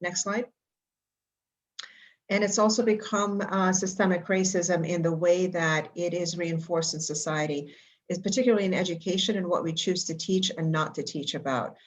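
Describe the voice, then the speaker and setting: An older woman from Egypt giving a prepared talk with slides at a school board meeting, halting and full of fillers and restarts. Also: on the low side, fairly even intonation. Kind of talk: prepared public comment with slides.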